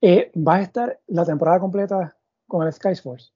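A man's voice: sits high, normal speed, mellow, husky, dry recording. A man hosting a sports podcast in Spanish, asking long, meandering questions full of fillers and restarts. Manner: thinks aloud with many fillers and restarts. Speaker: podcast host. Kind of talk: conversational sports interview. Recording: dull, muffled audio.

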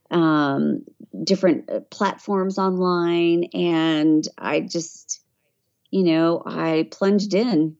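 Low-pitched woman, dull lo-fi recording. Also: warm tone. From Tennessee, USA. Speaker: interview guest, voiceover artist. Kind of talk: conversational interview storytelling.

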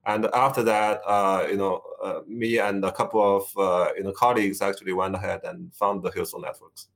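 A man's voice: low voice, little pitch movement, normal speed, vocal fry. A man in his fifties from China with a Chinese accent, a tech executive answering interview questions with many fillers and restarts. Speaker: guest, tech company executive. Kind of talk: conversational interview.

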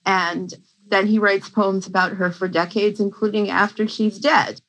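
A woman's voice: medium pitch, steady tone, measured pace, fairly bright tone, poor audio. A woman professor giving a conversational academic explanation as an interview guest, with informal asides.

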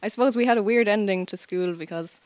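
A woman's voice: mid-range voice, quick pace, a little mellow, no echo. A young Irish woman in her twenties, a student teacher, chatting easily with fillers as a guest on a live radio interview. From Ireland. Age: 20s. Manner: chatty and easygoing, many fillers. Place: school, live radio broadcast.

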